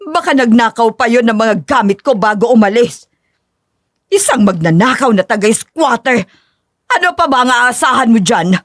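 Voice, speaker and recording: Woman doing a snobby rich older mom-in-law, scornful n imperious, high swoopy voice, dry audio drama recording. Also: animated, normal speed, no echo. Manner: disdainful, imperious. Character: haughty, scornful rich older mother-in-law, cruel and scheming. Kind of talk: dramatized audio story acting.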